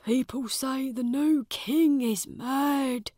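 A woman's voice: sits high, takes it slowly, mellow, dry recording, studio quality, pitched above the performer's own voice. A woman voicing a small, worried old male servant in a storybook reading. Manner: anxious, fearful.

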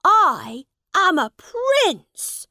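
A woman's voice: extremely high pitch, very animated, drawn-out words, bright, forceful tone, no echo, studio quality, voice pushed up, heavily acted. A woman voicing an indignant young boy prince who insists on his rank, in a storybook reading. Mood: defiant. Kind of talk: storybook character voice.

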